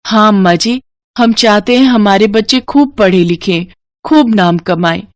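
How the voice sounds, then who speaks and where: A woman's voice: mid-range voice, normal speed, no room sound, close to an everyday voice. A woman voicing eager, sweet and respectful young village women in a moral story cartoon. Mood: respectful hopeful. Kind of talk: animated moral story dialogue.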